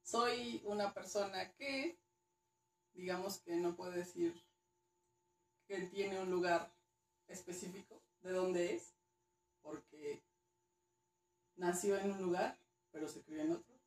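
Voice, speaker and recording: A woman's voice: lowish voice, slow, pauses often, reverberant, recorded from a distance, a little hiss or hum, low-quality recording. A woman with a Mexican accent, a guest archaeologist chatting casually, hesitant and repeating words now and then. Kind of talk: casual interview chat.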